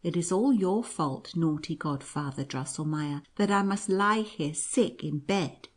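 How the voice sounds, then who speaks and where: A woman's voice: deep voice, a little slow, breathy edge. A woman voicing a little girl in a sickbed, plaintive and reproachful, as she reads a storybook aloud. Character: girl Maria, upset, sickly, reproachful.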